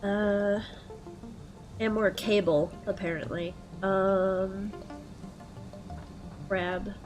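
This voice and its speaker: Slow, stuffy-nosed woman commentating a game. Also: mid-range voice, over music. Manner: relaxed, thinking aloud with frequent ums. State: congested with a head cold. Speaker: let's play host. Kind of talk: casual gameplay commentary.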